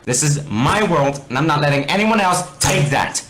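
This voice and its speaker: A man's voice: on the high side, expressive, normal speed, fairly bright tone, echoey room, a little hiss or hum, voice pushed up. Man playing an emphatic, eager male adventurer. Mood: stubborn, indignant. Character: eager, stubborn male adventurer from a block game.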